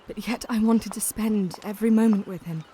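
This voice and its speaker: An actress playing a gentle, modest young Englishwoman in an audio drama, speaking tenderly and earnestly. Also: medium pitch, fairly even intonation, a little fast, a little hiss or hum, close to an everyday voice. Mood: fond. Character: gentle, worried young woman, modest friend.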